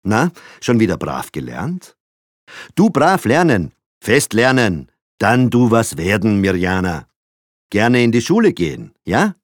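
A man voicing a fussy middle-aged father, switching between polite, gruff and baby-talk tones. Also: on the low side, wildly swooping pitch, unhurried, no echo, studio quality, pitched above the performer's own voice, full character voice. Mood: condescending.

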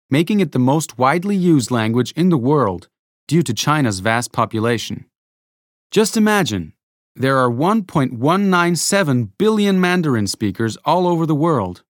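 A man reading a scripted educational narration, explaining clearly and adding rhetorical asides. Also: mid-range voice, no echo, clean studio sound.

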